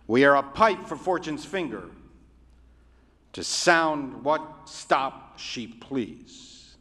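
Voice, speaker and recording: A rabbi giving a sermon in a measured, oratorical way, with deliberate pauses. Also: male voice, mid-range voice, expressive, fairly bright tone. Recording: reverberant hall.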